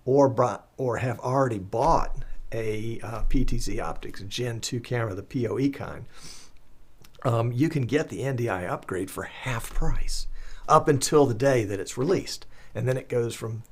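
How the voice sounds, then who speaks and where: A man hosting a live show in a chatty, folksy, rambling and enthusiastic way, croaky as he recovers from the flu. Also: lowish voice. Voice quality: slightly husky, croaky. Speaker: live stream show host.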